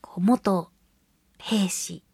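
A woman's voice: mid-range voice, extremely slow, creaky, a little mellow, breathy edge, no room sound, studio quality. A woman hosting a casual solo radio show, chatting expressively and giggly as she reads and responds to listener mail. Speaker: radio show host, voice actress.

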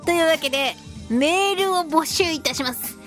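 A woman's voice: very high voice, moderate pace, fairly bright tone, soft background music. A woman hosting a chatty radio talk show, speaking in a playful, theatrical way with mock-polite phrasing, close to a studio microphone. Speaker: voice actress. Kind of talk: chatty radio talk, reading listener mail.

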